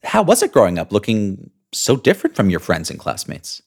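A man's voice: on the low side, huge pitch swings, fairly quick, creaky, slightly rough. A man hosting an interview podcast, enthusiastic and building drama as he introduces his guest. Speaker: podcast host.

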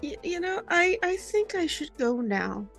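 Flustered female interviewer, acted by a woman, high-pitched, slow and hesitant, faint music behind, improvised roleplay drama. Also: big pitch swings, creaky, fairly bright tone, exaggerated voice. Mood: uneasy, flustered. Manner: hesitant, rambling. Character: nervous, flustered female interviewer out of her depth. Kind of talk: improvised in-character audio drama.

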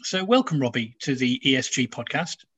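A man from Nigeria hosting a podcast interview, measured and trailing into low asides. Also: big pitch swings, fairly bright tone, breathy edge. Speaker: podcast host.

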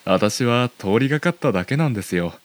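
A man playing a gentle, courteous middle-aged community chairman in an audio drama, speaking politely. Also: medium pitch, lively intonation, fairly quick, natural voice. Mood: kindly, calm. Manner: polite, softly advising.

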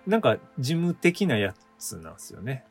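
A man's voice: medium pitch, wildly swooping pitch, moderate pace, faint music behind. A man, a theater company member, talking casually and a little sheepishly on a radio show, explaining things in detail. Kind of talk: casual chat about theater rehearsals.

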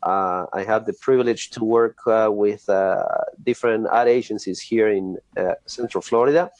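A middle-aged man from Argentina with an Argentine Spanish accent, who speaks English as a second language and gives steady, earnest interview answers with frequent pauses. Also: lowish voice, no room sound. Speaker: guest, ad agency president.

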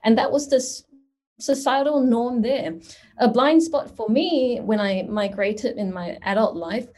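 A middle-aged woman from Singapore, a guest answering interview questions in a thoughtful, measured way, often adding "you know". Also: on the high side, darkish tone. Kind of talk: conversational interview.

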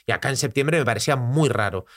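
Man with a softened Argentine accent. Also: medium pitch, quick pace. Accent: mild Argentine Spanish, mixed. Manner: relaxed, reflective, uses vos. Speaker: podcast co-host. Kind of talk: casual chat. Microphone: studio microphone.

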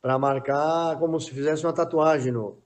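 A man's voice: on the high side, fairly even intonation, moderate pace, a crowd in the background. A middle-aged former footballer as a podcast guest, talking in a casual, hesitant way and often repeating words while he thinks. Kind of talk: casual interview chat.

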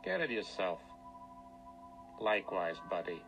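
Curt slangy hotel clerk in a radio play, lowish voice, all about the money, music behind. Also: male voice, sing-song, a little slow, creaky, heavily acted, poor audio. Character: brusque, money-minded male hotel desk clerk. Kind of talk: radio drama acting.

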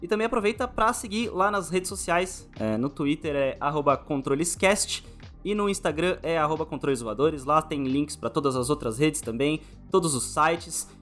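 A man's voice: fairly high pitch, fairly bright tone. A Brazilian man hosting a podcast interview in an informal, chatty, slangy way.

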